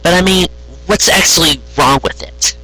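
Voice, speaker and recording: A man's voice: sits high, normal speed, pushed and bright, slightly rough, no echo, pitched above the performer's own voice, full character voice, low-quality recording. A man voicing a playful young female unicorn musician with a teasing, easy manner for a story reading. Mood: curious. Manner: teasing, matter-of-fact.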